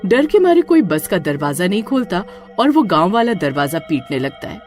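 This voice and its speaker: Lively woman narrating a spooky story. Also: lowish voice, moderate pace, faint music behind. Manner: dramatic, suspenseful storytelling.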